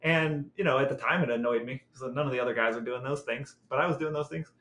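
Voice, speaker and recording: A man's voice: mid-range voice, fast talker, a bit bright. A middle-aged man reminiscing casually about family, often repeating phrases for emphasis. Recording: echoey, roomy sound.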